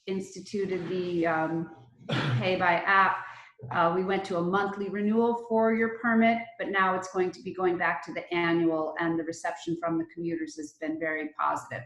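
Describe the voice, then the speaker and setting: A woman's voice: on the low side, flat delivery, normal speed, fairly bright tone. An American woman giving an informal report as a local commissioner at a public meeting held over video call. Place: indoor room, Zoom video meeting. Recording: roomy, echoey video call audio.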